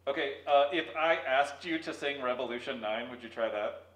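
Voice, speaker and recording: A man's voice: mid-range voice, normal speed, a bit bright. A man hosting a playful interview in a jokey manner, calling out through a megaphone in an echoey room. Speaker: host, museum staff.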